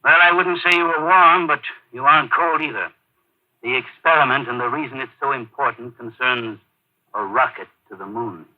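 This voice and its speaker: A weary Air Force general in a 1950s radio play, voiced by a man. He speaks gravely and with command, in a medium-pitched voice. The recording is dull and lo-fi. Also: normal speed, lightly acted. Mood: anxious. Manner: grave, authoritative. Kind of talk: 1950s radio drama acting. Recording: dull old radio broadcast.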